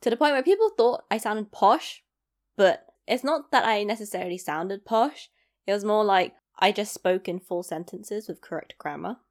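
A woman's voice: medium pitch, moderate pace. Young woman, London accent, chatty, self-deprecating, rambling asides. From East London, England. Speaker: vlogger. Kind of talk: casual chat to camera.

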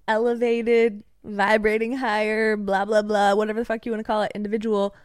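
A woman's voice: mid-range voice, little pitch movement, brisk, clear, resonant tone, dry recording, studio quality. A young woman from East Tennessee, a podcast guest, ranting heatedly and swearing freely in casual conversation. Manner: heated, profanity-laced rants.

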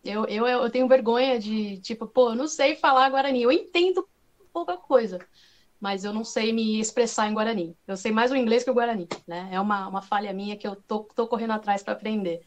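A woman's voice: on the high side, brisk. A woman with a Brazilian accent speaking casually and animatedly as a podcast guest, in an informal manner. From Brazil, moved from Paraguay as a toddler. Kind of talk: casual chat.

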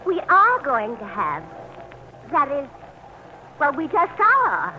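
An actress playing a sweet, fluttery, coy bride-to-be in a 1940s radio play. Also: female voice, high voice, huge pitch swings, slow, bright, forceful tone, exaggerated voice. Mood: shy, coaxing. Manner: coy, hesitant, doting. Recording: noisy old 1940s radio recording.

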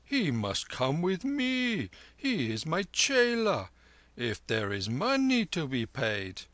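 A man reading aloud, voicing a gentle, weary old holy man who speaks slowly and deliberately. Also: fairly high pitch, huge pitch swings, dry recording, pitched above the performer's own voice, exaggerated voice. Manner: slow, weary, measured.

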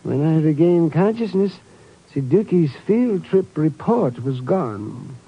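A man's voice: a little high, sing-song, slow, slightly rough, full character voice. An actor playing a nervous, fussy, apologetic man in a 1950s radio drama, polite and hesitant. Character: fussy, nervous, apologetic male sidekick and professor. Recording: muffled old radio recording.

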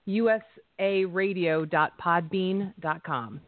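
A woman's voice: fairly low pitch, slow pace, no room sound. A woman co-hosting a call-in radio show, talking in a playful, teasing way. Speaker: radio co-host. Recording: dry, tinny phone-line audio.

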